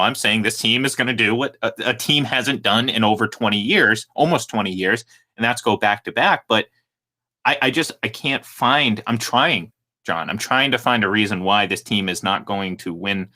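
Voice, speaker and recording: A man hosting a sports talk radio show, energetic and rambling, who laughs easily. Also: fairly low pitch. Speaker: radio host.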